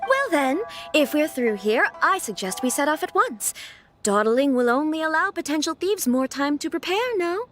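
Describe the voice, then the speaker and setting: A woman's voice: high-pitched, big pitch swings, normal speed, a bit bright, soft background music. A woman playing a refined, resolute young noblewoman in a video game, speaking formally and with composure. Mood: stern, commanding. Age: young adult.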